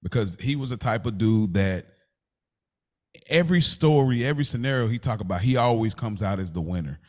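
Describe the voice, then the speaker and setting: A man's voice: lowish voice, lively intonation, fairly quick. A man chatting casually as a podcast co-host, telling stories in loose slang and acting out what people said.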